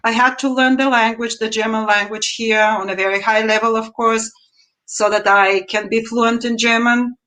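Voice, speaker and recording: A woman in her fifties with a Bulgarian accent, speaking on a video call from a room at home as an interview guest and telling her story in a measured, deliberate way with emphatic rises. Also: on the high side, fairly even intonation, bright, forceful tone, low-quality recording. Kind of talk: interview answers, personal story.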